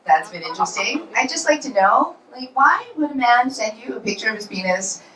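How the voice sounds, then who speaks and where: A woman's voice: on the high side, expressive, a little fast, bright, forceful tone, a little hiss or hum. A woman in her forties doing a stand-up comedy set in a club, telling punchy self-deprecating stories. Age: 40s. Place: comedy club in New York. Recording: roomy club sound, from far off.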